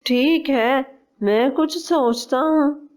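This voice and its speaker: A woman's voice: high voice, slow pace, darkish tone, voice pushed up. A woman voicing a greedy, harsh father bird in a cartoon, speaking in a blunt, scornful way. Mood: pondering.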